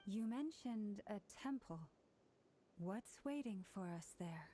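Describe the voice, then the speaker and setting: A gentle, mellow, composed young woman. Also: medium pitch, slow, a little hiss or hum. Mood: calm, curious. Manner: calm, polite, soothing. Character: gentle, composed, soft-spoken young female companion. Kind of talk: video game voice acting.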